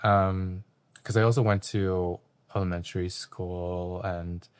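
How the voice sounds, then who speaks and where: Low-voiced man, relaxed and reflective. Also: takes it slowly, smooth. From Canada. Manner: relaxed, reflective, with frequent ums. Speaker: guest artist. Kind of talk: casual interview talk.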